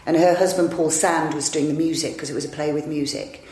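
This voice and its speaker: A woman's voice: low voice, steady tone, a little fast, dark tone. A woman in her fifties, an actress, telling long rambling anecdotes in a chatty, self-deprecating way. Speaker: actress and improviser, interview guest. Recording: echoey room.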